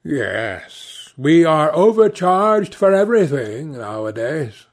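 An urbane, cynical aristocrat from a storybook voiced by a man reading aloud. He speaks slowly in a fairly high male register, with a very lively melody. His manner is nonchalant and pompous, as if every remark were a polished epigram. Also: full character voice. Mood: smug, amused. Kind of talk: audiobook character dialogue.

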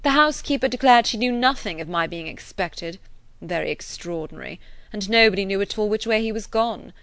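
A woman's voice: mid-range voice, animated, a little fast, fairly bright tone, dry recording. A woman voicing a fussy young clergyman who complains in an aggrieved, self-pitying tone.

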